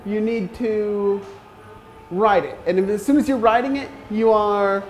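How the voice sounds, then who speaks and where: High-voiced man in his twenties. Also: steady tone, measured pace, faint music behind. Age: 20s. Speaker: moped club member, substitute teacher. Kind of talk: casual documentary interview.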